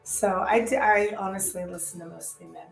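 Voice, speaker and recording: A woman's voice: mid-range voice, normal speed, vocal fry, soft background music. Blunt woman co-host, echoey room. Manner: blunt, crude, laughs easily. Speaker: podcast co-host. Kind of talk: casual podcast chat.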